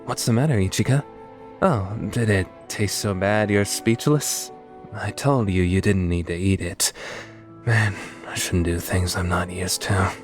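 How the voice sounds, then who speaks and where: Man playing aloof man with hidden kindness, lower pitch, offhand, awkwardly gentle, visual novel drama, faint background music. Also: fairly even intonation, measured pace. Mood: flustered, offhand. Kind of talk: dubbed visual novel acting.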